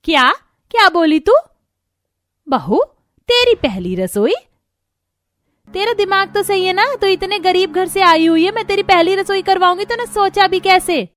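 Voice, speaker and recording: A woman as a high-voiced, domineering scolding mother-in-law. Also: expressive, measured pace, long pauses, no echo, full character voice. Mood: scornful, bossy. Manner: harsh, commanding, sharp-tongued.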